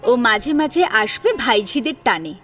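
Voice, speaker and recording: A woman's voice: a little high, very animated, normal speed, sharp tone. A woman reading a dramatic audio story as its narrator. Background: background music.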